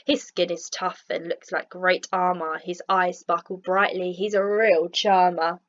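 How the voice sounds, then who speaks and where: A woman teacher narrating a rhyming children's storybook in an expressive, measured read-aloud style, on a lo-fi recording. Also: fairly low pitch.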